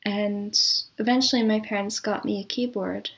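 A woman speaking as an interview guest in casual, reminiscing conversation, with a thoughtful, measured way of talking. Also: medium pitch, fairly even intonation. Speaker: interview guest, composer and audio drama producer.

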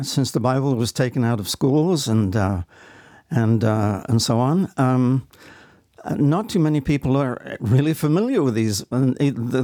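A man in his seventies with an English accent, speaking reflectively and haltingly as an interview guest. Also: mid-range voice, darkish tone, no room sound, studio quality. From England. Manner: halting, deliberate.

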